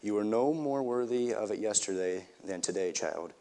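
A man reading the lines of a firm but gentle older nanny in a storybook, in an earnest voice with a few restarts. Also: lowish voice, lively intonation, normal speed.

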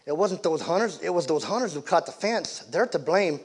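A man's voice: fairly high pitch, lively intonation, a little fast, slightly rough, voice pushed up. An earnest farm boy protests that it was not their fault, as a man reading aloud voices him. His tone is a little raised and insistent. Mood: protesting. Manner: objecting, earnest.

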